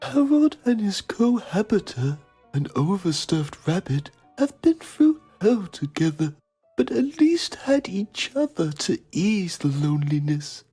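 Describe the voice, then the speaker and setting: A man's voice: high voice, big pitch swings, unhurried, mellow, slightly rough, soft background music, heavily acted. A man playing a pompous TV journalist, narrating a mock documentary in a solemn, melodramatic manner. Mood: grave, pompous.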